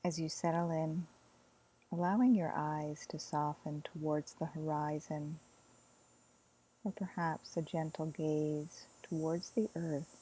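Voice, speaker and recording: A woman leading a guided meditation as a teacher, speaking gently and soothingly in soft phrases with long pauses. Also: sits low, fairly even intonation, slightly rough, low-quality recording.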